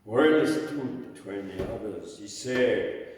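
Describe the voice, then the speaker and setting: A man with a croaky voice, hosting an open mic and reciting his own poetry in a dramatic, chanting way with sudden loud rises. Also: fairly low pitch, slow. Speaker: open mic host and poet. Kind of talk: performance poetry reading. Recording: echoey hall, mic far off.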